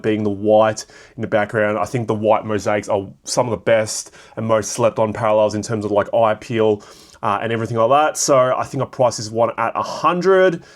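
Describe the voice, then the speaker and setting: Raspy Australian man with a cold. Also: lowish voice, steady tone, a little fast. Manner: rambling, filler-heavy, thinking aloud. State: hoarse from a cold. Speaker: card shop vlogger. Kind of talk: casual solo commentary.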